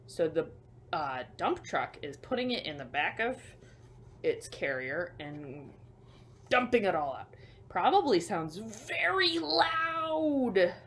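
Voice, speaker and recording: A woman hosting a children's storytime, reading picture books aloud with emphatic delivery and hushed asides. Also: medium pitch, animated, takes it slowly. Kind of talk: picture book read-aloud for young children.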